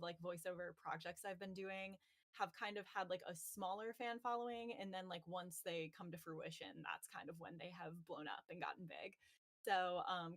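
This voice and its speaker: A woman voice actor talking casually on a video call, chatty with lots of fillers. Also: medium pitch. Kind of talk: casual guest talk.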